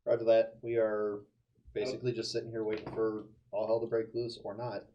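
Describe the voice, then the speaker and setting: A man's voice: on the low side, little pitch movement, brisk, muffled recording, slight background noise. A man playing a tabletop role-playing game with casual, wry, sarcastic banter.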